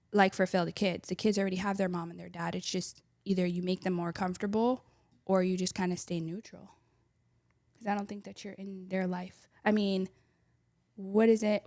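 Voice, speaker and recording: Woman, young, soft-spoken, lots of fillers, trailing off, dry close mic. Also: mid-range voice, no echo. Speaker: podcast co-host. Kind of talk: casual chat. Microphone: close studio mic.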